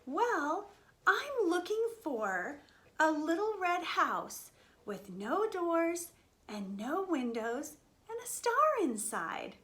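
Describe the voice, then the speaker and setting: A little country mouse from a children's storybook, played by a woman, eager and curious. The voice sits high and moves up and down with lively expression. He speaks politely, with childlike earnestness. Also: takes it slowly, heavily acted. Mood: polite, hopeful.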